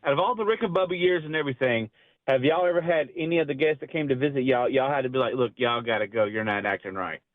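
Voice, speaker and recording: A man's voice: medium pitch, fast, no echo. A man calling in politely with a question for the hosts. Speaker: caller. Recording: dry tinny phone line.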